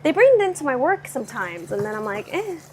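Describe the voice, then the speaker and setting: A bubbly young woman with a light Californian accent and a bright, high voice talks easily with her viewers as she cooks at home. She slips between reading their comments and chatting about the food. A faint hum of the room sits under her voice. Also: sing-song, fast. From Southern California. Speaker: vlogger cooking live and answering chat. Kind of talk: casual live-stream chat. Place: home kitchen.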